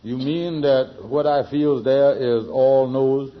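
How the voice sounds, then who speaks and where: A man's voice: medium pitch, takes it slowly, natural voice. An actor playing a scheming, hapless, boastful man in a vintage radio sitcom, blustery and folksy in his speech. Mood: aggrieved. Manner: blustery, folksy nonstandard grammar. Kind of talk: 1940s-50s radio sitcom acting. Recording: muffled vintage radio recording.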